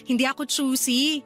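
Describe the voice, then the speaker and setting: A young aunt in a radio drama, affectionate and busy. Her voice sits fairly high and her manner is easygoing and expressive. Faint music runs behind her. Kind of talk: Tagalog radio drama acting.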